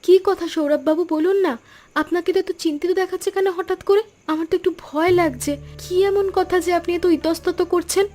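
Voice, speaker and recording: A woman voicing an earnest, expressive young granddaughter who cooks at a food stall in an animated story. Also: very high-pitched, a little fast. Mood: curious, anxious. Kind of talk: Bengali animated story dialogue.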